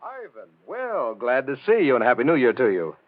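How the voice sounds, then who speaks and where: Genial gentleman on tinny old radio. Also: mid-range voice, huge pitch swings, normal speed. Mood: hearty, curious. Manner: hearty, polite, formal mid-century delivery. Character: genial, well-bred husband, unsuspecting and devoted. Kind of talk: 1940s radio drama acting. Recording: tinny, narrow, roomy old radio broadcast.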